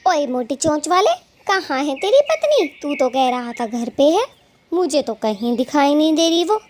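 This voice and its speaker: A woman's voice: sits high, sing-song, normal speed, noisy background. A woman voicing a bossy, quarrelsome female cartoon bird with a scolding, taunting way of talking. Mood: angry, threatening. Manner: scolding, taunting, colloquial bickering. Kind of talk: Hindi cartoon story dialogue.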